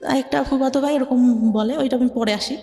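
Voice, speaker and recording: A young woman with a Bangladeshi Bengali accent tells her life story to an interviewer, speaking softly and hesitantly with many filler words. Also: sits high, steady tone, moderate pace, gravelly, big-room sound, faint music behind. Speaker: interview guest. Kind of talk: personal life story in conversation.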